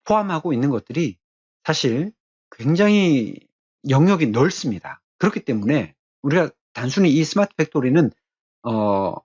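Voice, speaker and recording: Husky guy teaching a tech course, explains slowly in bits w lots of pauses, bit muffled recording. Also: fairly high pitch, sing-song, a little mellow. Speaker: technical training lecturer. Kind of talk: explanatory lecture.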